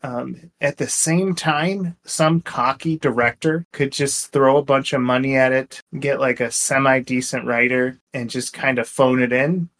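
Man, average pitch, podcast co-host, informal conversational delivery, film discussion style. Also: steady tone, a little slow, few pauses, a bit bright.

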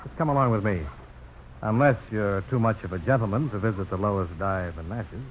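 An actor in a radio drama playing a bold, plain-spoken frontier gambler and planter with a steady, unhurried delivery. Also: male voice, fairly low pitch, close to an everyday voice. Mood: firm, resolved. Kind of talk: 1950s radio drama acting. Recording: muffled, noisy old radio broadcast.

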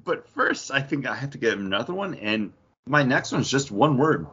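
A man's voice: medium pitch, expressive, normal speed, bright, forceful tone, slightly rough, lo-fi sound. A man in his thirties co-hosting a podcast in loose, casual chat. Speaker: podcast co-host.

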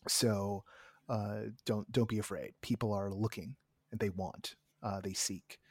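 A man hosting a podcast, hoarse and tired, talking in a rambling way with many restarts and asides. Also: lowish voice, steady tone, unhurried, darkish tone, no room sound. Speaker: podcast host. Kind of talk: casual chat and solo monologue.

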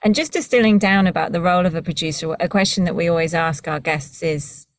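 A woman hosting a relaxed conversational interview, encouraging and a little tentative as she words her questions. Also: on the low side, fast talker, no echo, studio quality. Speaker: interviewer, podcast host.

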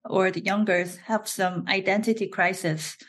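A woman from South Korea with a Korean accent, talking as an interview guest in a halting way, often restarting and laughing. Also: mid-range voice, fairly even intonation, fairly bright tone. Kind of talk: casual interview.

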